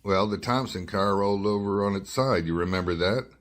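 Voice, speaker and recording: A hard-boiled male reporter played by a man with a low, deep voice in a radio detective play. He is dogged and probing, with a wry touch. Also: brisk, close to an everyday voice. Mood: pressing. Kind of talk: radio detective drama acting and first-person narration.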